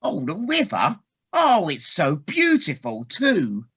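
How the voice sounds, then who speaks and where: A woman's voice: fairly low pitch, huge pitch swings, slow, a bit bright, slightly rough, full character voice. A woman playing a kindly, trusting, fretful water rat in an audio play, earnest and fussing, on dull lo-fi call audio. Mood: concerned, trusting. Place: living room, recorded over video call.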